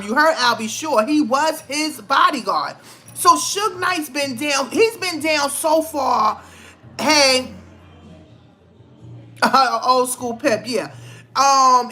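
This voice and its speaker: A woman's voice: sits high, sharp tone. A middle-aged woman giving casual, opinionated commentary in a conversational, emphatic way, repeating phrases for stress. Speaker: vlogger.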